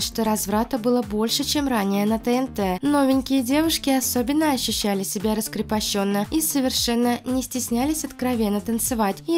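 A woman's voice: a little high, darkish tone. A woman with a cold narrating scripted celebrity gossip news in a chatty, slightly sarcastic way, over background music. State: has a cold. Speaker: celebrity news channel host.

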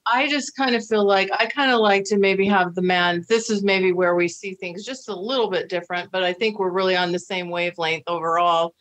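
A middle-aged American woman hosts over a video call, speaking at an ordinary pitch. She is chatty and reassuring, weaving in fillers as she shares advice. Her voice comes through a webcam mic in a home room. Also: fairly even intonation, fairly quick, few pauses, fairly bright tone. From United States. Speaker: dating coach and host. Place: indoor room with flowers.

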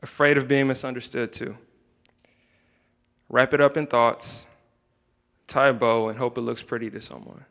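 A young man reading his own spoken word poetry into a handheld microphone, soft-spoken and hesitant between poems. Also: medium pitch, level tone, normal speed, creaky, long pauses, long reverb tail. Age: young adult. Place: indoor room, standing by a wall.

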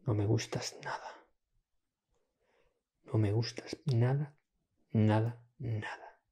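Man acting a menacing captor in a husky whisper. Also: medium pitch, steady tone. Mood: quiet menace. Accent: Spain. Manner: slow, hushed, menacing. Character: menacing male captor whispering to his captive. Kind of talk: ASMR roleplay.

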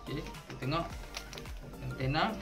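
A man with a Malaysian accent casually unboxing and demonstrating something, halting and repeating words as he explains. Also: on the high side. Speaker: vlogger. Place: indoors at a table at home. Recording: music playing behind the voice.

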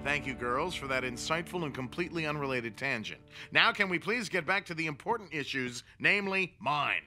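Snarky vain cat warlock, swoops all over in pitch, cartoon with music. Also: male voice, lively intonation, brisk, sharp tone, heavily acted. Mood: sardonic. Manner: sardonic, dramatic, wheedling. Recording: cartoon dialogue with music behind.

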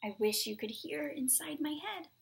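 A young adult woman reading picture books to children at story time in a soft, hushed, breathy manner. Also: high-pitched, animated, moderate pace. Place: small room at home, indoors.

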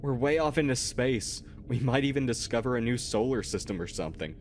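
Casting: actor as joking, reassuring spaceman for audio drama, average pitch, slight background ambience. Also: expressive, brisk, close to an everyday voice. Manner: jovial, soothing, casual. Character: upbeat, joking, reassuring male astronaut. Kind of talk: audio roleplay acting. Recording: faint noisy ambience.

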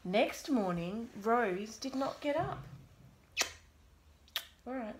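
A woman reading a bedtime storybook aloud to a young child in an expressive, deliberate way, pausing to prompt. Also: fairly high pitch. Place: small bedroom at home. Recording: roomy echo, background noise, clicks.

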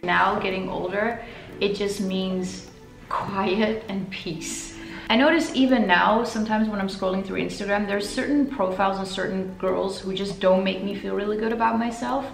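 A woman's voice: medium pitch, steady tone, normal speed, vocal fry, echoey room. A young woman answering an interview question candidly. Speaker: interviewee, model.

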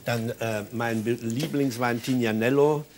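A man's voice: mid-range voice, fairly even intonation, over a noisy crowd. An older man hosting a cooking show, chatting casually and eagerly with his guest while he cooks in a studio kitchen. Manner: chatty, enthusiastic, quick interjections.

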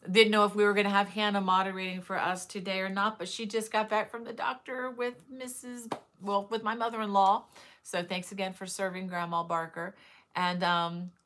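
A woman's voice: medium pitch, little pitch movement, moderate pace. Chatty woman hosting a livestream. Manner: chatty, many fillers and warm greetings to viewers. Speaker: host of a crochet livestream. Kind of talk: casual livestream chat, greeting viewers. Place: living room with bookshelves, indoors.

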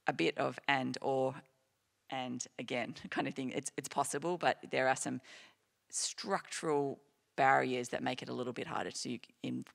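Gravelly-voiced Australian woman on a panel. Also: a bass voice, fairly even intonation, moderate pace. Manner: earnest, reflective, with fillers and restarts. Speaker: panelist, clinician-scientist. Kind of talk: panel discussion answers. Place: lecture hall stage.

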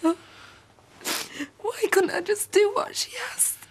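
Tearful, pleading daughter, very high and slow. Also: female voice. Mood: grieving. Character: grieving young woman whose mother has died.

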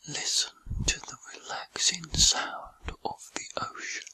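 A man whispering a guided meditation in a soothing, gentle, breathy ASMR style with unhurried pauses. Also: dry recording.

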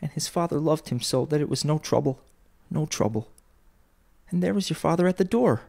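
A gentle Victorian mother, voiced by a male narrator, poor and grieving. Her speech is lifted in pitch, soft and careful, full of tenderness, as in a storybook reading. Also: lively intonation, fairly quick, vocal fry. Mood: tender, steadying herself.

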